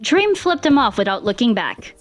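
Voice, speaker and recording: A woman reading fan fiction as an expressive scripted story narrator. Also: fairly high pitch, moderate pace, faint music behind.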